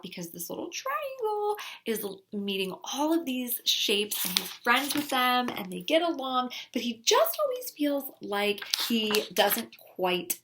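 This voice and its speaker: A woman casually presenting book recommendations in an enthusiastic, gushing way. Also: mid-range voice. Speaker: children's book reviewer. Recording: clearly noisy recording with clicks.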